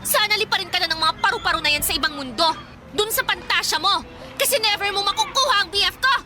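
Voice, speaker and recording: An actress playing a jealous, sharp-tongued teenage schoolgirl in a radio drama, taunting and combative. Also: very high voice, fast. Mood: mocking. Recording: music behind the dialogue.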